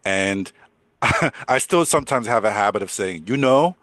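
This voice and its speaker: An older man with a deep voice teaching English in a chatty way, repeating words and laughing often. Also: animated, a little slow, fairly bright tone, dry recording. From United States. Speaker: English coach. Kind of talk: casual teaching conversation.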